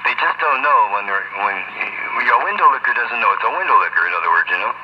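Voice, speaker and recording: Man, low-pitched voice, CB radio operator, scornful banter, stock catchphrases, dull lo-fi transmission. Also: animated, quick pace. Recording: dull, noisy CB radio audio.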